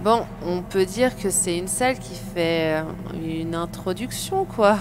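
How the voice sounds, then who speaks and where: A woman's voice: fairly low pitch, a little slow, very noisy, close to an everyday voice. A woman acting as a wry, slightly hesitant member of a group outing in a comedy audio drama.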